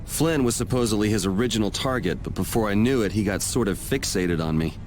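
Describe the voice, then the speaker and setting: A man's voice: lowish voice, a little fast, darkish tone, faint music behind, lightly acted. An actor playing a laid-back young swordsman hero with a wry, dry sense of humor.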